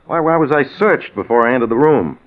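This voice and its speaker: An actor playing a concerned, steadying friend, earnest and admonishing in a deliberate 1940s radio drama style. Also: male voice, medium pitch, animated, slight background noise, close to an everyday voice. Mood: bewildered. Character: concerned, steadying male friend of a doomed man. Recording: very muffled old 1940s radio recording.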